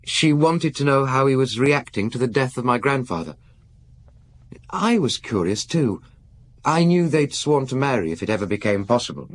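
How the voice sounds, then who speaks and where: A man's voice: medium pitch, expressive, moderate pace, natural voice. A man playing an earnest, nervous young aristocrat in a radio drama, speaking politely and confidingly with hesitant restarts. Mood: earnest, troubled. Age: young adult.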